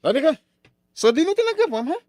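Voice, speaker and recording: Volatile, high-pitched young bully. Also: male voice, sing-song, fast, no echo, clean studio sound, faint music behind. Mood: furious, menacing. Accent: Filipino. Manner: loud, volatile, barking. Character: abusive, hot-tempered younger man, demanding bully. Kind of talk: melodramatic radio drama acting.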